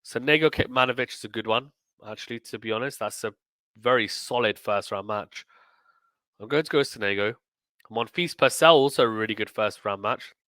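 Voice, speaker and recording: Man, casual sports preview host, bright clear voice, slightly croaky from being ill, chatty and emphatic. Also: mid-range voice, a little fast, no room sound. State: slightly croaky, recovering from illness. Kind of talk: casual tournament preview commentary.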